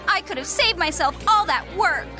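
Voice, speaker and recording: A woman voicing a spirited, stubborn young witch girl in a cartoon, expressive and emphatic. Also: extremely high pitch, normal speed. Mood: sad, sulky. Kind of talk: animated cartoon voice acting, English dub. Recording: loud music behind the voice. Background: loud cartoon score.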